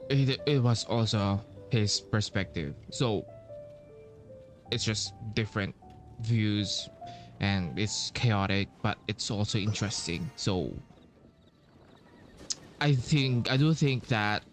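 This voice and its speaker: Very slow, halting male gamer. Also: lowish voice. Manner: halting, thinking aloud with long pauses. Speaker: gaming streamer playing through a story quest. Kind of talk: casual playthrough commentary. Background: game music.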